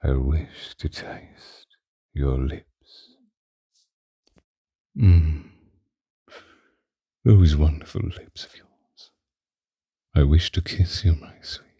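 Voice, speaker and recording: Man playing a very deep, doting vampire lover. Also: no room sound, clean studio sound, heavily acted. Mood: hungry, seductive. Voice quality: very deep, dark, husky, breathy, often whispering. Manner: slow, intimate, old-fashioned romantic wording. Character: possessive, doting ancient male vampire lover. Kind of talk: ASMR roleplay monologue. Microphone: close microphone, dry.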